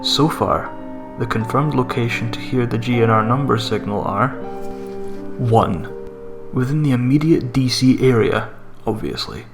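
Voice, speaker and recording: Man reading a creepypasta with slight creak. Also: medium pitch, unhurried. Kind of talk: scripted horror story narration. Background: background music.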